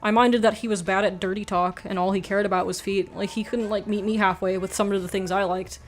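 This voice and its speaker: Quiet-voiced woman streamer, rambling chat. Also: mid-range voice, steady tone, fairly quick, faint music behind. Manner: rambling, frank, laughs often, says "like" a lot. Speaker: livestream host reading chat. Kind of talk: casual chat.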